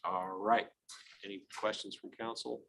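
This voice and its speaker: A man with a Midwestern American accent chairing a city council meeting, moving briskly through agenda items. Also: mid-range voice, big pitch swings, vocal fry, sharp tone, slight background noise, low-quality recording. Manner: brisk, procedural.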